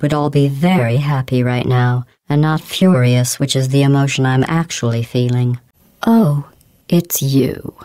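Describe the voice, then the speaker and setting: A cold female facility AI in a video game, her tone low and perfectly smooth. Every line comes out clipped and deadpan, sarcastic and quietly menacing, recorded close and completely dry. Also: no echo. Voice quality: smooth, synthetic-sounding, clipped. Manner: deadpan, passive-aggressive, coolly menacing.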